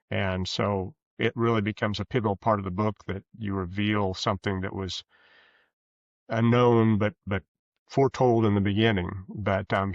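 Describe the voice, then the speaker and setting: A man answering interview questions as a guest author, conversational, with rambling, halting explanations. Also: fairly low pitch, vocal fry, no echo. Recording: dull, lo-fi sound.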